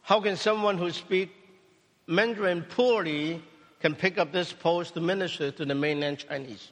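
Cantonese-native pastor giving a sermon in short, paused phrases, slightly high voice, long reverb of a church hall. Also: male voice, a bit bright. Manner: speaks in short phrases, pausing for interpretation. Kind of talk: sermon testimony with interpreter.

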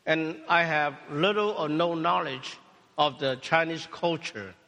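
Cantonese-native pastor giving a sermon in short, paused phrases, slightly high voice, long reverb of a church hall. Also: male voice, vocal fry, pushed and bright. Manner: speaks in short phrases, pausing for interpretation. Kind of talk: sermon testimony with interpreter.

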